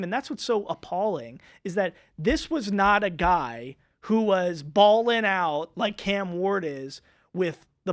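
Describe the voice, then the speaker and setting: A man hosting a sports talk show in a studio, emphatic and fired up, with his voice going hoarse. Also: high voice, a bit bright, no room sound. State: losing his voice.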